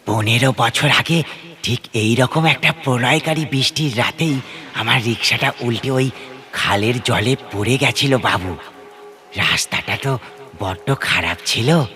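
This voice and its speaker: A man voicing the sad ghost of an elderly rickshaw puller in a horror audio story, speaking deferentially and with mournful calm. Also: mid-range voice.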